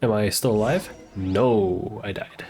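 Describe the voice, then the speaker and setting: A man's voice: lowish voice, slow, a little mellow. Easygoing male streamer over faint game music. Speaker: live streamer. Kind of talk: casual game stream commentary.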